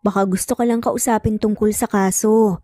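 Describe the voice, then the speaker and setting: A woman's voice: mid-range voice, fairly even intonation, normal speed, warm tone, no room sound, studio quality, natural voice. Woman as concerned, probing older sister. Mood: knowing. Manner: probing, knowing. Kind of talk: Tagalog romance drama storytelling. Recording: music bed under the voice.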